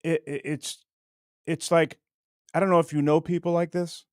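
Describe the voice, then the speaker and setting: A man hosting a casual comedy show, talking straight to viewers in a halting, stop-and-start way. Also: fairly high pitch, little pitch movement, no echo, clean studio sound. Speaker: show host introducing a puppet bit.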